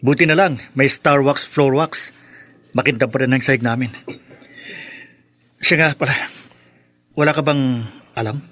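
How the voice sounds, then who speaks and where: A man's voice: mid-range voice, a little slow, pauses often, a bit bright. A man acting a blunt, exasperated young brother in a Filipino radio drama. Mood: dry, subdued.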